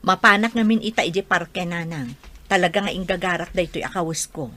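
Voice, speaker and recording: A woman's voice: fairly low pitch, a little fast, sharp tone. A woman playing a doubting, defensive middle-aged mother in a radio drama.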